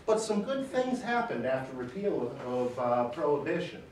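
A man in his forties testifying at a public hearing, speaking loudly and emphatically, off the cuff. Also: medium pitch, sing-song, normal speed, a little hiss or hum. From Michigan. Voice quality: loud voice. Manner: emphatic, gesturing, pounds the table. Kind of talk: unscripted testimony. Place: large wood-panelled hearing room. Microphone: far camera. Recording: echoey room, camera far off.

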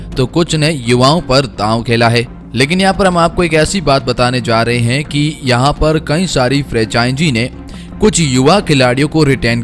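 A young man reading a scripted cricket news voice-over in a brisk, emphatic way, with asides to viewers. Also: medium pitch, fairly bright tone. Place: voice-over, not in pictured place. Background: faint background music.

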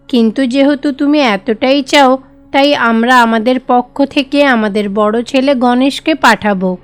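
A woman's voice: high voice, clean tone. A woman voicing a gracious, composed divine mother in a cartoon tale.

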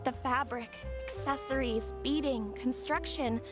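Gentle game bride-to-be, high hesitant voice, music under it. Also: female voice, steady tone, a little slow. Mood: earnest, worried. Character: gentle, earnest young bride-to-be customer. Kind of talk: video game voice acting. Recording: music behind the voice.

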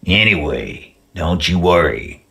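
An actor playing a gruff, bruised union tough in a video game, grieving but proud, blunt and profane, with a gravelly voice. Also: male voice, low voice, big pitch swings, slow, pushed and bright, heavily acted. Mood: defiant, weary. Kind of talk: video game character acting. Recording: music behind the voice.

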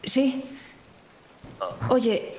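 A woman's voice: fairly high pitch, wildly swooping pitch, very slow, a little mellow, voice pushed up, heavily acted. A woman playing a shaken young woman who hesitates and reassures in a tabletop roleplaying game. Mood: hesitant, reassuring.

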